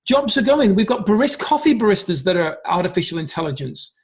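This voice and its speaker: A man's voice: sits high, very animated, brisk, gravelly. A middle-aged man giving an earnest motivational business talk, stressing points by repeating them. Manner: earnest, emphatic, repeats key phrases. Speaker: business coach.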